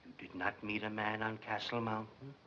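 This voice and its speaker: An actor playing a stern, vindictive schoolmaster who questions in an insinuating, imperious way, in the manner of old film drama. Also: male voice, fairly low pitch, fairly even intonation, moderate pace, natural voice. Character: stern bullying schoolmaster, prying and vindictive inquisitor. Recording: dull, noisy old film soundtrack.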